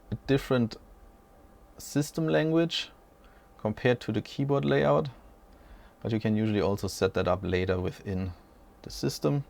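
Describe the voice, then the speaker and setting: A man in his forties talks through a tech setup at an unhurried pace, his voice gravelly with a touch of fry. He rambles in a chatty way and often stops to correct himself. He sounds like he is sitting at a desk in a small room at home, a short way from the mic. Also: medium pitch, darkish tone. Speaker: tech channel host. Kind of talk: unscripted casual tech walkthrough.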